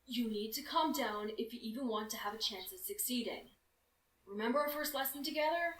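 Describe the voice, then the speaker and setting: Woman as a steady, higher-voiced unicorn mentor, noisy backdrop. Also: brisk, close to an everyday voice. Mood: mildly stern. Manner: mildly stern, steady, encouraging. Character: adult mare unicorn mentor, firm but reassuring. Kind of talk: dramatic fan fiction audio reading.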